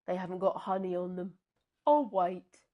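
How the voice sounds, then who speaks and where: A woman's voice: fairly low pitch, moderate pace, highs cut off, natural voice. A woman voicing a hungry, honey-loving male bear in a children's storybook, eager and plaintive.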